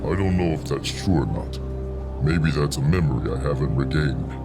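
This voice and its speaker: A man voicing a huge, stern and wise copper dragon who rumbles in measured, formal speech for a fantasy audiobook. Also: lively intonation. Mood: solemn. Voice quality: deep rumbling. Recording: loud music behind the voice.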